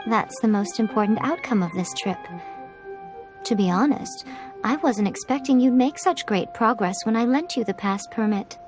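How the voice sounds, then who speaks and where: Actress as teasing elegant priestess, fairly high voice, wry, knowing, video game, light music. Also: female voice, lively intonation, normal speed, close to an everyday voice. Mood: amused, teasing. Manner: playful, wry, smooth-tongued. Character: sly, teasing, elegant shrine woman, knowing and amused.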